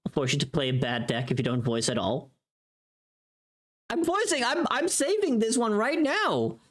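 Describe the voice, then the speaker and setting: Streamer with animated, swooping intonation. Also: medium pitch, normal speed. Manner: informal, profane, thinking aloud. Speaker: livestream host. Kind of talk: casual livestream chat while working.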